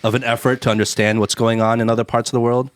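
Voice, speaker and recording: A man's voice: mid-range voice, level tone, quick pace, no room sound, clean studio sound. A man from the United States hosting a podcast, talking in a candid, rambling way and swearing freely. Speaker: podcast host. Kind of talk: casual podcast chat.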